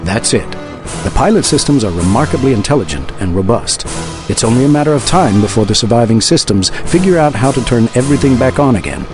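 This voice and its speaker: A man's voice: mid-range voice, expressive, fast, over music, natural voice. An actor playing a learned male scientist advisor in a video game, speaking in a dry, explanatory way. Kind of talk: video game cutscene acting.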